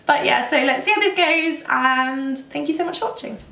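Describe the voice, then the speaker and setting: A young woman in her twenties, losing her voice and croaky, chatting casually and self-mockingly through a makeup tutorial. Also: sits high, moderate pace, pushed and bright, reverberant, distant mic. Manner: chatty, self-mocking, rambling with many ums. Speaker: beauty vlogger. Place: indoors, plain grey backdrop.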